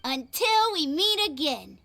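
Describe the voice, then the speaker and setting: An actress playing a polite, innocent young child in a video game, with an eager childlike voice. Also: female voice, high voice, sing-song, unhurried, creaky, sharp tone, no room sound, heavily acted. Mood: cheerful. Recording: background music under the voice.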